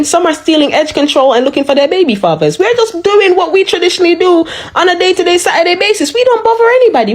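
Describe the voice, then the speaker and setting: A woman with a Jamaican accent ranting sarcastically in a social media video. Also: very high voice. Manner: indignant, sarcastic, emphatic. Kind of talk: ranting commentary.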